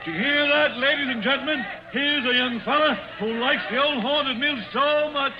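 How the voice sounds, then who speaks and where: An actor playing a slangy, folksy carnival barker selling tickets in a 1940s radio drama. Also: male voice, extremely high pitch, normal speed. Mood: hawking, showy. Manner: folksy, slangy sales patter. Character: loud, cagey middle-aged male carnival ticket barker. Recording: noisy, muffled 1940s radio recording.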